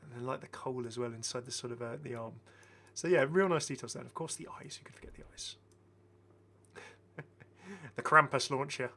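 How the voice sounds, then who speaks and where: Guy doing chatty gaming commentary, bright punchy voice, rambles on appreciatively. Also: mid-range voice, steady tone, fairly quick. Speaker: gaming video host. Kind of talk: casual enthusiastic game commentary.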